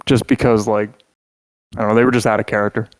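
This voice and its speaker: A man's voice: on the low side, level tone, fast talker, dry recording, poor audio. Rambling, joking podcast co-host, a man. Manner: rambling, joking, full of "like" and restarts. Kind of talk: casual chat.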